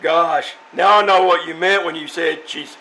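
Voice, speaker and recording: A man's voice: fairly high pitch, fairly even intonation, pushed and bright. A man playing a dim-witted, good-natured sixteen-year-old athlete with guileless delivery in old-time radio comedy. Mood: dopey, puzzled. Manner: slow, dopey, guileless. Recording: clearly noisy with clicks.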